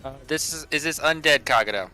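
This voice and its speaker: A man in casual tabletop game talk, explaining rules haltingly and often repeating his words. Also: a little high, bright, forceful tone, soft background music.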